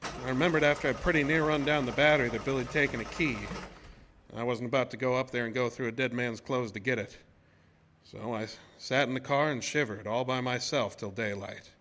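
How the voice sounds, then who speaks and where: An actor playing a plain-spoken, shaken oil-rig worker who tells his tale in a folksy, halting way in a radio horror drama. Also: medium pitch, fairly even intonation, noisy background, natural voice. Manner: folksy, colloquial, halting storytelling.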